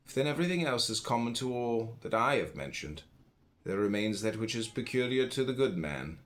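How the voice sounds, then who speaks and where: A man reading an old philosophy book aloud in measured phrases, pausing between them. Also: medium pitch, very animated. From United States. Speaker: audiobook reader.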